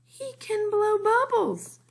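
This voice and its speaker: A woman's voice: extremely high pitch, big pitch swings, slow pace, vocal fry, voice pushed up, heavily acted. A woman voicing a bossy, jealous little girl mouse in a children's storybook, with an emphatic, theatrical delivery. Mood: indignant, proud. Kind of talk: read-aloud children's storybook.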